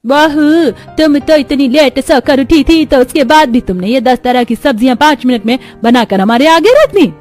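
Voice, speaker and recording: Elderly village aunt for animation, voiced by a woman: high, folksy, exclaiming, quick to marvel. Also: darkish tone, faint music behind, close to an everyday voice. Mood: astonished. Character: rustic elderly aunt visiting from the village, easily amazed.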